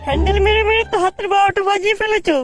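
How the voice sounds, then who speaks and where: A woman voicing a mocking, crude village friend in a comic cartoon, sarcastic and needling, with a pitched-up voice. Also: fairly even intonation, very fast. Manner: taunting, sarcastic. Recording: music behind the voice.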